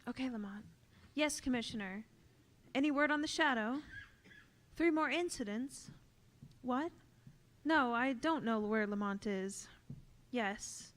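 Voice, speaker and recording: A woman's voice: fairly high pitch, measured pace, long pauses, a bit bright. A woman playing a poised, polite, concerned heroine in a 1930s radio drama, speaking earnestly. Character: poised, concerned young lady companion of a vigilante. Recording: noticeable noise and clicks.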